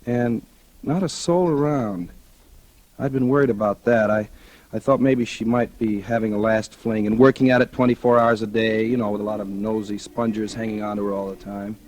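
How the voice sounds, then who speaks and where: A man's voice: fairly low pitch, steady tone, normal speed, a little mellow, dry recording, close to an everyday voice. An actor playing a cynical, scheming male writer in a 1940s radio drama, talking in a colloquial, calculating way. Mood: wry, calculating. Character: smooth scheming male writer, cynical opportunist courting a dying heiress. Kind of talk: 1940s radio drama acting, first-person noir narration. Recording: noisy vintage radio recording.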